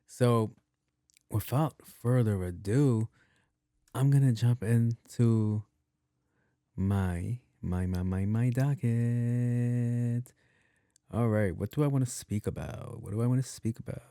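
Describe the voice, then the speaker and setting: A young man with a soft, mellow voice talks straight into a dry, close mic. He rambles loosely as a podcast host, restarting sentences and cursing freely. His pitch sits in an ordinary middle range. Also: slow, no echo. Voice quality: soft, not deep. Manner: loose and profane, with many restarts and repeats. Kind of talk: casual rambling monologue.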